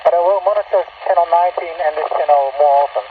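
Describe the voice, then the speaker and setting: A man's voice: on the high side, fast. A man with a Dutch accent chats casually over CB radio. His voice comes through a thin, narrow band buried in heavy static, as a radio operator making a long-distance contact. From Netherlands. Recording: very noisy, narrow CB radio signal.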